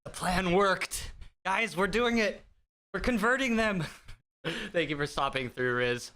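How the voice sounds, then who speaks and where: A man hosting a live stream, chatting casually with viewers in a bright, higher-pitched voice, rambling and self-deprecating with lots of fillers. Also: animated, unhurried. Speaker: livestream host. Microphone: desk streaming mic through an audio mixer.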